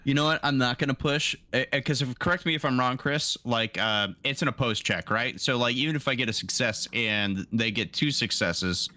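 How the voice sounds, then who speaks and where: A man's voice: medium pitch, normal speed, few pauses, sharp tone, no room sound. A man playing a tabletop roleplaying game, chatting informally and thinking aloud. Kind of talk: casual game chat.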